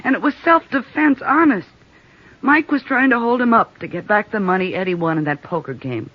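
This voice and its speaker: A woman's voice: medium pitch, brisk, no room sound, lightly acted. A woman playing a frightened, sullen young nightclub stripper in her twenties, pleading and defensive in an old radio drama. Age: 20s. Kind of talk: 1950s radio drama acting. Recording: dull old radio broadcast.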